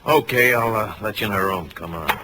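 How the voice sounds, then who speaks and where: An actor playing a cocky, defensive young chauffeur with slangy, evasive talk in 1940s radio style. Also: male voice, fairly low pitch, animated, fairly quick, background noise, natural voice. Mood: wary, cocky.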